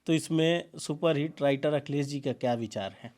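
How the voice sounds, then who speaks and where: A man's voice: on the high side, normal speed, darkish tone. A man with a Bhojpuri-region Indian accent, hosting and asking questions in a video news interview. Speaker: interviewer and host.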